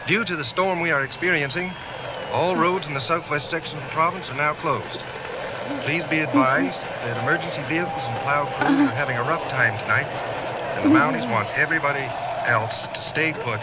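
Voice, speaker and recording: An earnest male announcer, played by an actor reads an emergency bulletin in a slightly high voice. The delivery is official and the vintage radio sound is thick with noise. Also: fairly quick, close to an everyday voice. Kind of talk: news bulletin reading. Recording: very noisy vintage radio broadcast.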